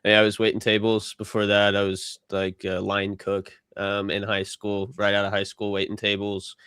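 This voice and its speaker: A man's voice: lowish voice, monotone, moderate pace, a bit bright, clear, resonant tone, no room sound, studio quality. A young man in a casual podcast conversation, laid-back and full of fillers, laughing easily as he talks.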